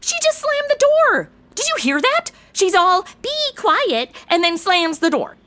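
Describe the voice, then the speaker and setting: A brash young American in an audio comedy, high-pitched and extremely animated. She is sassy and dramatic, full of modern slang and ditzy bravado. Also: a little slow, exaggerated voice. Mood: indignant.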